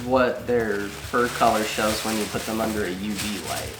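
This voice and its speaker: A young man co-hosting a casual chat, soft-spoken and quiet, sounding stuffy from a cold. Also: on the low side, fairly even intonation, normal speed, over music. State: stuffy, getting over a cold. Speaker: co-host. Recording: echoey room with background noise.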